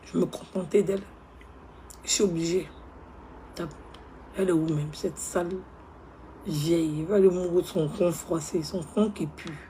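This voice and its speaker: A woman playing a remorseful young woman who begs her ex on the phone. She speaks softly and pleads, often close to a whisper. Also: fairly low pitch, a little hiss or hum. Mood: dejected. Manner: soft, hushed, pleading, often near a whisper. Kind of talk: comedy skit monologue.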